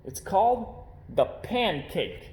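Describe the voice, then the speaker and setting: Man doing a high, guileless farm bear cub. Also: big pitch swings, slow pace, a little mellow, heavily acted. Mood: pleased. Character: round-faced boy bear cub, farm kid, easygoing. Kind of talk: children's storybook character voice. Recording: roomy, echoing home recording.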